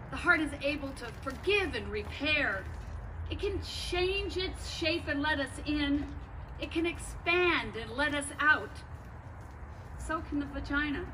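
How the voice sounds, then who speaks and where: A woman performing a poetic stage monologue as an awed witness, in measured, reverent phrases. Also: sits high. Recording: distant mic, noisy live venue.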